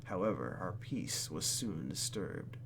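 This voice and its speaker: A man hosting a let's play, reading game text aloud and adding sarcastic, animated asides. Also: sits low, measured pace, vocal fry, noisy background. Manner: animated, sarcastic, exclaims and mutters. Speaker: YouTube gaming host.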